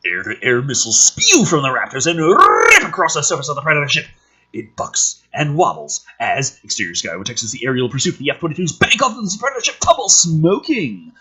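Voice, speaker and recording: A husky-voiced man reading a script aloud dramatically, with exasperated, sweary commentary as host. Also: a little high, wildly swooping pitch, a little fast, pushed and bright, low-quality recording. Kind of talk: animated script read-aloud with profane commentary.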